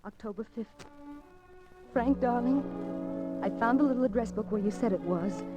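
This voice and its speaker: An actress playing a devoted, determined wife with an earnest, emotional manner in a 1940s radio drama. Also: female voice, on the high side, normal speed, lightly acted. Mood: tender, yearning. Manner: earnest, emotional, breathlessly urgent. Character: devoted, determined young wife fighting for her condemned husband. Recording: muffled old radio recording with music.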